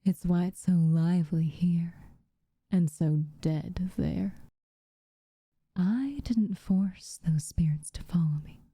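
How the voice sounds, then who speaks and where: A haughty tsundere witch acted by a woman speaks in a very mellow, dark tone, breathy and often near a whisper. Her phrasing is formal and unhurried, guarded on the surface with tenderness underneath. The sound is close and dry, as in an ASMR roleplay. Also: fairly low pitch, no echo. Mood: wry, resolute. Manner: slow, formal, measured wording with reluctant warmth. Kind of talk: ASMR roleplay, softly spoken to the listener.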